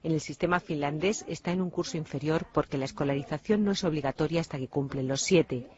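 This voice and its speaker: A woman reporter reading a scripted television news narration in a measured, even delivery. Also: sits low, lo-fi sound.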